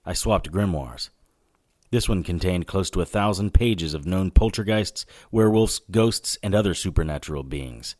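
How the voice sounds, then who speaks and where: An actor playing a sardonic 1940s detective who narrates a noir audio drama and trades wisecracks. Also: male voice, low-pitched, lively intonation, moderate pace, a little mellow. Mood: grim, weary. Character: wry, hard-boiled 1940s male occult detective narrator.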